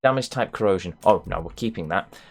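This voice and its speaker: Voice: low-pitched, expressive, brisk, creaky. A video game streamer giving casual, sluggish commentary, muttering to themselves with playful mock-formal turns of phrase. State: sluggish, not fully awake.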